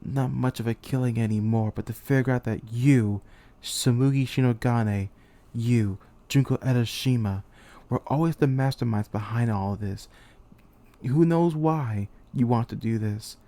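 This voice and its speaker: A man playing a betrayed, despairing young military commander in a theatrical, halting anime-game monologue. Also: mid-range voice, dark tone, lightly acted. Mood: bitter resignation. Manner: theatrical, halting, anguished delivery. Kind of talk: dramatic monologue, anime-game fan voice reel.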